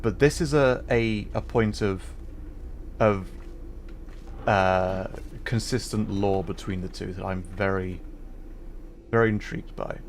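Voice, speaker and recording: A man's voice: lowish voice, slow pace, pauses often, slight background noise. A man livestreaming a video game, giving casual commentary and explaining things in a hesitant, thoughtful way.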